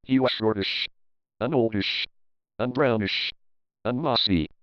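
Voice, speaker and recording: Man, children's storybook narrator, smooth clean voice, theatrical rhyming read-aloud, slightly dull recording. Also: medium pitch, a little slow, no room sound.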